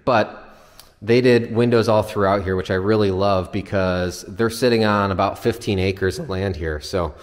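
A man with a fairly low voice narrates a house tour off the cuff. He explains features informally, like a builder's representative showing a client around. His voice carries long reverb from the empty interior. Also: normal speed. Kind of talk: casual unscripted walkthrough narration. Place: empty new house, indoors.

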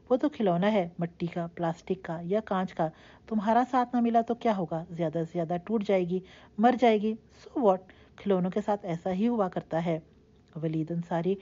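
A woman's voice: fairly low pitch, dark tone, lo-fi sound, lightly acted. A woman voicing a heartbroken, defiant young woman who lashes out in passionate, reproachful outbursts.

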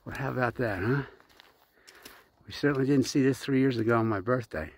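A man casually narrating his hike to a handheld camera outdoors on a mountain trail, chatty and unhurried, winded at times from the climb. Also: mid-range voice, darkish tone, husky. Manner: chatty, unhurried, reads signs aloud. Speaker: hiking vlogger.

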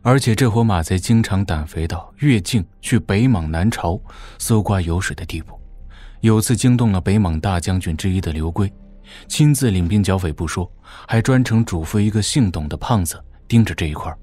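Audio drama role: crafty, servile warlord, lowish voice, folksy explanatory delivery to a superior, faint music bed. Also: male voice, moderate pace, mellow. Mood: shrewd, informative. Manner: deferential, colloquial, explanatory. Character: obsequious, shrewd male warlord reporting to his overlord.

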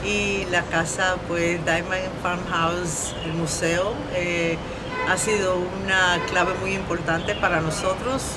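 A middle-aged woman with a Dominican accent talks reflectively about her art in an interview, pausing often. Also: on the low side, fairly even intonation, heavy background noise. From Dominican Republic. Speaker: visual artist, interview guest.

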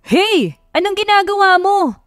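A woman's voice: very high voice, expressive, a little slow, a bit bright, clean studio sound, voice pushed up, exaggerated voice. An arrogant, exasperated billionaire man in an audio romance voiced by a woman. He speaks formally, switching in and out of English. The sound is roomy, with music underneath. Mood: shouting angrily. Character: haughty, exasperated male billionaire, formal English-Tagalog speech. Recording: roomy echo, music bed behind.

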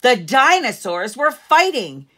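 Bright, animated middle-aged woman reading aloud. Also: a little high. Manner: deliberate storyteller phrasing with strong emphasis. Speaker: teacher reading aloud to children. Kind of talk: expressive picture-book read-aloud. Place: living room at home, seated in an armchair.

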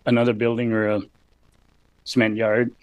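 A man asking an informal question as a member of the public, hesitant and halting in a folksy way. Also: lowish voice, level tone, no room sound, highs cut off. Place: council meeting room.

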